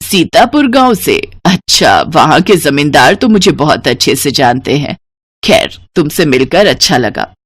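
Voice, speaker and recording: A woman narrator acts out a well-off older lady in a moral story. Her voice sits low, kindly and composed. She speaks with gentle courtesy in a dry, close recording. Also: big pitch swings, a little slow, husky, no echo, exaggerated voice.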